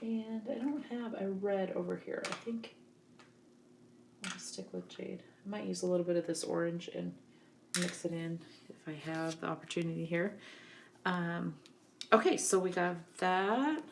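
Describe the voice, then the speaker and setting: A woman's voice: on the low side, a little slow, vocal fry. Scratchy-voiced woman teaching a craft. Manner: relaxed, chatty, many ums. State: getting over a cold, scratchy voice. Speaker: craft instructor. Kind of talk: scrapbooking tutorial.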